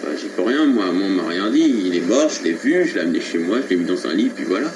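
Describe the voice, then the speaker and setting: A man making a prank phone call in a hesitant, halting way, keeping a deadpan, earnest tone. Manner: hesitant, halting, feigned seriousness. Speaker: prank caller. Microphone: telephone line. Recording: noisy low-quality telephone recording from 2001.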